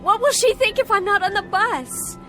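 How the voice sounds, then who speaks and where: A woman's voice: extremely high pitch, normal speed, over music. An actress playing a distraught young woman traveler in a radio drama, agitated, insistent and pleading.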